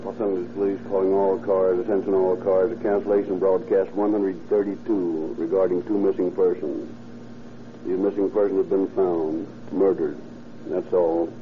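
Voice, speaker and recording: An actor playing a police radio dispatcher, giving clipped, official bulletins in an old radio drama. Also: male voice, sits low, level tone, normal speed, natural voice. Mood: brisk, official. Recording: very noisy, tinny 1930s police radio.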